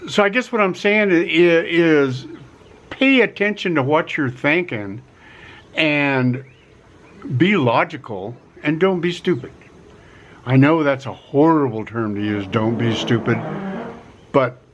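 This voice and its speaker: A middle-aged man giving a casual vlog pitch from a quiet rural home, talking in a folksy way, repeating himself and stressing key words. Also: muffled recording. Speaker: vlogger offering relocation advice.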